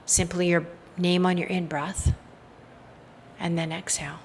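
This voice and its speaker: A woman leading a guided meditation in a soothing, hypnotic style, with soft trailing phrase endings. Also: lowish voice, level tone, measured pace, creaky, slight background noise.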